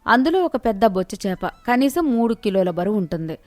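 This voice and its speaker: A woman's voice: medium pitch, very animated, moderate pace, darkish tone, no echo. A woman narrator reading a scripted moral tale in storytelling style.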